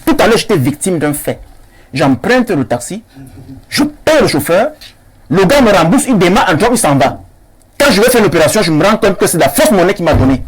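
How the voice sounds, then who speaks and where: A Cameroonian man argues in a heated political debate. His voice is high and husky, and his pitch swings widely. He is insistent, hammering phrases by repeating them. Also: very animated, a little fast.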